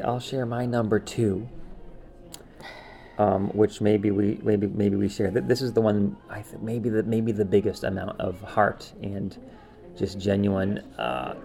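Male co-host for casual talk show. Mellow tone with some fry, enthusiastic, emphatic. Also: fairly low pitch. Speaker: podcast co-host. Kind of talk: casual chat ranking favorite cartoon specials.

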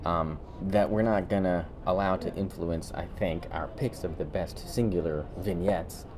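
A man co-hosting a podcast in casual chat, speaking emphatically and haltingly with repeated words as he reviews. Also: low-pitched, noisy background. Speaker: podcast co-host.

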